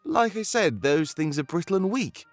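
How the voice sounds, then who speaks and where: Expressive, earnest rebel fighter. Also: male voice, high voice, moderate pace, dry recording, soft background music. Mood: earnest, confiding. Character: male rebel guide, earnest, conscience-stricken fighter with playful streak. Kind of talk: visual novel game voice acting.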